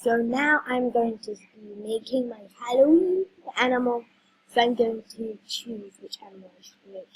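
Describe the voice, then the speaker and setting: A woman's voice: on the high side, normal speed, a little hiss or hum, poor audio. A woman hosting a casual vlog, talking hesitantly with many ums while she is losing her voice. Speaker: video host. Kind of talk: casual game walkthrough vlog.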